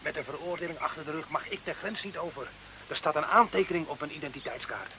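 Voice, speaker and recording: A man's voice: fairly high pitch, quick pace, bright, forceful tone. An actor playing a familiar, teasing younger village man, an ex-convict, in a radio drama. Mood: wry, complaining. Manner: questioning, teasing, colloquial. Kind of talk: Dutch radio drama acting. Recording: muffled old radio recording, faint hiss.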